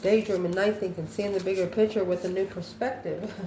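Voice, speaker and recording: Woman doing a tarot reading, mid pitch, kinda asks herself stuff as she goes, echoey room. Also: fairly even intonation, brisk, mellow. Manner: musing aloud, asks herself questions and answers them. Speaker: tarot reader, channel host. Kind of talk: improvised spoken tarot card reading. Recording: echoey room, faint background noise.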